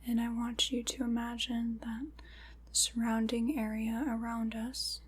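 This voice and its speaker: A woman playing a gentle, solemn witch in an ASMR roleplay, guiding a ritual in a soft, breathy, deliberate whisper. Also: a little high, completely flat delivery. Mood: hushed, guiding. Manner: slow, soothing, deliberate guided-ritual delivery.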